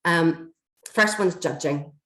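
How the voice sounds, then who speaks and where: Slightly hoarse woman leading a video-call workshop. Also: sits low, slow pace. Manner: steady explanatory delivery with frequent fillers. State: slightly hoarse, coughing from a chest infection. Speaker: learning and development trainer. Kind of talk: online workshop presentation. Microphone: computer mic on a video call. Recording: echoey room over a video call.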